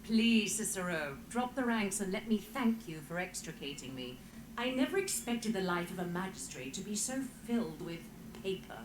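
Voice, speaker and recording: Actress as expressive, exasperated fantasy magistrate. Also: female voice, mid-range voice, normal speed, reverberant, noisy background, natural voice. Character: exasperated, dryly humorous female magistrate. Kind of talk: fantasy video game dialogue.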